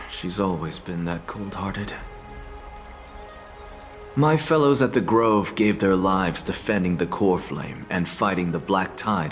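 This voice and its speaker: Low-voiced, haughty scholar. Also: male voice. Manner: pompous, measured, sardonic. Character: proud male scholar professor, aloof, sardonic, defiant. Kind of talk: dramatic video game acting.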